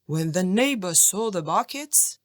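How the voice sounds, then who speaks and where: A woman narrating a children's folk tale audiobook in an expressive, scripted storytelling style. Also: fairly low pitch, a little slow, no room sound, studio quality.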